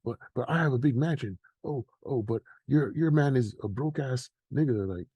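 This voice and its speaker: A man's voice: medium pitch, normal speed, breathy edge, no room sound, dull audio. A young man co-hosting a podcast, talking in a loose, rambling way with lots of fillers. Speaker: podcast co-host. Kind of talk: casual commentary chat.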